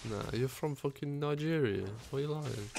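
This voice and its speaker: Young male teammate with a gravelly edge; dry, deadpan teasing. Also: fairly high pitch, normal speed. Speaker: gamer teammate on voice chat. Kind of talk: casual voice chat while gaming.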